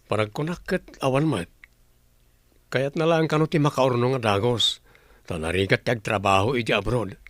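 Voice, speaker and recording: A man playing a gentle, struggling father in a radio drama, hesitant and placating. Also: medium pitch, normal speed, no room sound, clean studio sound, close to an everyday voice. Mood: resigned. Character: struggling, gentle, resigned father of a young child. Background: music.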